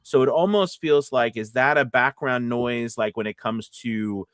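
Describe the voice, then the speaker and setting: A man's voice: mid-range voice, a little slow, fairly bright tone, no echo. Male live-stream host and coach, chatty and rambling, restarts often, dry sound. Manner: rambling, false starts and self-interruptions. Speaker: co-host, voiceover casting director and coach. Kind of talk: casual live-stream chat answering viewer questions.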